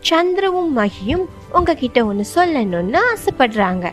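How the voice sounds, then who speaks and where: A woman's voice: high voice, big pitch swings, normal speed, faint music behind. A woman narrating a scripted children's story as the storyteller.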